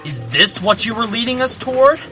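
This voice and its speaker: A man's voice: very high voice, sing-song, normal speed, music in the background. A man playing a chatty, friendly, teasing stone warrior with eager banter in an amateur audio drama. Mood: wry.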